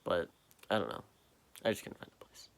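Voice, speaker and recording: A tired young man with a deep voice, rambling slowly in a late-night vlog, halting and full of fillers, recorded on a propped-up phone in a quiet basement. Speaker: vlogger. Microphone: phone propped up nearby.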